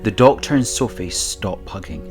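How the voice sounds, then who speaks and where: A man's voice: on the low side, animated, normal speed, a little mellow, soft background music. A man reading scripted narration as the narrator of an audio drama.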